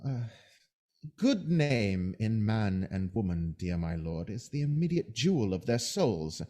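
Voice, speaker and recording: An actor playing a scheming soldier who feigns honesty, in measured, insinuating Shakespearean verse for an audio drama. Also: male voice, fairly low pitch, sing-song, dark tone. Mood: cautious, insinuating.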